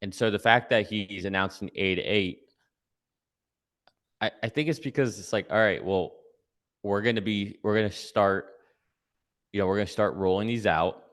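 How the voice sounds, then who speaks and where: Man, very low voice, guest commentator, casual analysis, explanatory, often tags points with "right?". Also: moderate pace, long pauses, fairly bright tone. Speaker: guest commentator giving predictions.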